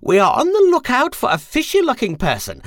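A vain storybook witch shrieks and squeals her orders, a man putting her on. The voice sits very high and leaps about wildly. The sound is dry and close. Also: huge pitch swings, brisk, no room sound, exaggerated voice. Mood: bossy. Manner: shrill, bossy, dramatic squealing. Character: vain shrieking female witch, fussy and haughty. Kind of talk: storybook character voice.

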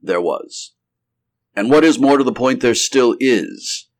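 A man voicing a steady male Secret Service operative in a story, speaking in a matter-of-fact, businesslike way. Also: medium pitch, measured pace, pitched above the performer's own voice, close to an everyday voice. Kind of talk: acted story dialogue.

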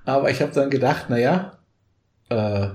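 A man whose first language is Portuguese, a coaching expert telling stories in a conversational podcast, animated and often adding a quick "ne?". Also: mid-range voice. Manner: animated storyteller, frequent tag "ne?". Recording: roomy echoey room.

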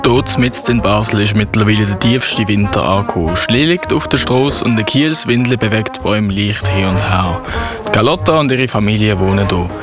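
A man's voice: lowish voice, moderate pace, over loud music, natural voice. A man with a Swiss German accent narrating a Christmas radio play as storyteller.

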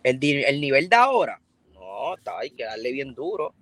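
A man's voice: mid-range voice, very animated, moderate pace, a crowd in the background. A Puerto Rican man, a breakdancer guest, speaking frankly and informally in a casual interview. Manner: frank, informal street talk.

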